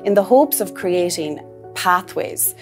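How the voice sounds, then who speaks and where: A middle-aged woman explaining a project in a calm documentary interview. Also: fairly low pitch, slow. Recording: interview with music bed.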